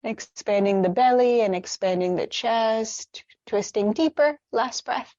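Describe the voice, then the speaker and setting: Female meditation guide. Soothing, unhurried delivery, medium pitch, close dry sound, a touch muffled. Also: no echo. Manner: gentle, unhurried, soothing instruction. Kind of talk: guided meditation with gentle stretches.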